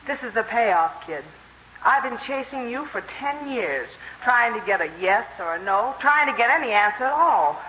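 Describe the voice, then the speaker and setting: A woman's voice: a little high, expressive, normal speed, natural voice. A long-suffering woman, played by an actress, wry and exasperated with her man, in a 1950s radio comedy. Her voice moves expressively up and down. The old recording is dull with faint noise.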